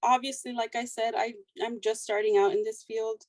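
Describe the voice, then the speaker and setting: Young woman, soft-spoken, shy, counselor guest, dry close sound. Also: a little high, sing-song, moderate pace, creaky, no echo. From South Texas. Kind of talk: casual interview.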